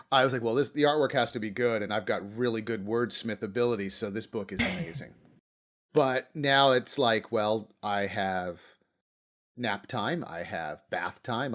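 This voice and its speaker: A man hosting an interview in a casual, rambling way, thinking aloud and acting out little quotes. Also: medium pitch. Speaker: podcast host.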